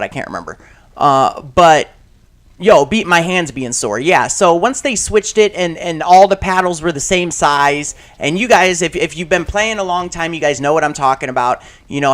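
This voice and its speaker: A man with a slightly high, raspy voice talks through a shooter game session in a loose, rambling way. He piles on fillers and restarts, and breaks off into loud shouts at the action. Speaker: gaming channel host. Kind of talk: casual commentary while playing a shooter game.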